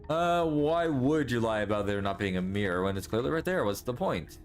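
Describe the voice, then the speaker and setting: A man's voice: mid-range voice, lively intonation, brisk, faint music behind. A man streaming a horror game, chatting casually and laughing often.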